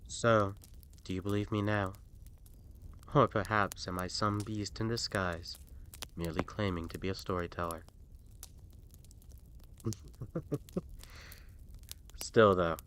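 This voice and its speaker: A man narrating a scripted fairy tale as an expressive, theatrical bedtime storyteller. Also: sits low, slight background noise.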